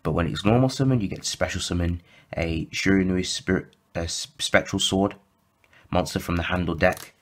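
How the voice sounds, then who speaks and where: Croaky low-voiced man walking through cards. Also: normal speed. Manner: rambling with frequent fillers and self-corrections. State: slightly croaky from sore throat. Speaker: YouTube deck profile host. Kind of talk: casual explanatory walkthrough.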